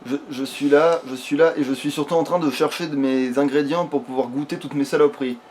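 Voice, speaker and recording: A man with a slightly high voice talks casually while gaming. His speech is slangy and jokey, peppered with swearing. There is faint noise in the recording. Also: fairly even intonation, brisk, a little mellow. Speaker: let's play gamer. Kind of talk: casual chat while playing a video game. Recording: background noise.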